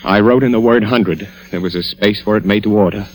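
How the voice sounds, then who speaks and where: Actor as a deep-voiced, guilt-ridden radio husband. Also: male voice, brisk, close to an everyday voice, rough recording. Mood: ashamed confession. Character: guilt-ridden, frightened, sullen husband in trouble. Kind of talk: 1940s radio acting. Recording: vintage radio recording with music.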